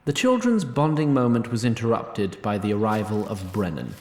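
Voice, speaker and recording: A roomy-sounding actor as a male storyteller narrates a fantasy audio drama. He is formal, precise and dryly pedantic, with pitch that swings widely for emphasis. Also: big pitch swings, normal speed, a little mellow. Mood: wry, formal. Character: wry, pedantic, faintly exasperated storyteller of a fantasy tale.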